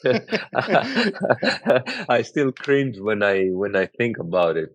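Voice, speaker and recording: A man with a Greek accent hosting a casual podcast chat, laughing often, swearing casually and filling pauses with "uh". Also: on the low side, animated, no echo, highs cut off. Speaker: host.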